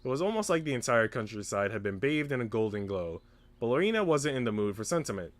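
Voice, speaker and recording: A man's voice: mid-range voice, sing-song, fast talker. A man reading a visual novel aloud as scripted narration with character voices. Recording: music under the voice. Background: background music.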